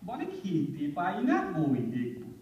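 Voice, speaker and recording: A man acting a gruff, sarcastic middle-aged Bengali village husband, bantering in an audio drama. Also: a little high, huge pitch swings, a little slow. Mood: sarcastic, teasing. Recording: muffled, echoey hall, distant microphone.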